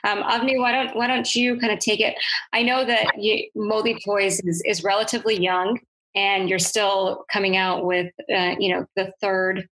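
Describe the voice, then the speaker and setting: A woman hosting an interview, asking polite, brisk questions. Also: medium pitch, little pitch movement, fairly bright tone, rough recording.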